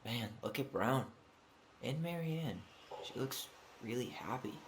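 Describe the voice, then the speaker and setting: A man's voice: mid-range voice, lively intonation, a little slow. An actor playing a blunt, sardonic young man in a naturalistic video game. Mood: curious. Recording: clear background noise.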